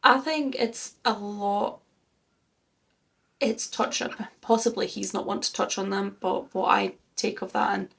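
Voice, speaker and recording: A woman's voice: medium pitch, measured pace, creaky, fairly bright tone, husky. A woman who grew up in Germany, co-hosting a music reaction chat and thinking aloud in an earnest, casual way. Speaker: co-host of a music reaction channel.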